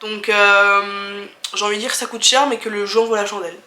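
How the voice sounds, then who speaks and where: Young French woman vlogger, twenties, bright tone, casual and candid Q&A delivery, roomy echo. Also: mid-range voice, fairly even intonation, brisk. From France. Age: 20s. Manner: candid, chatty, many fillers and restarts, laughs easily. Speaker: travel vlogger. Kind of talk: casual chat answering viewer questions.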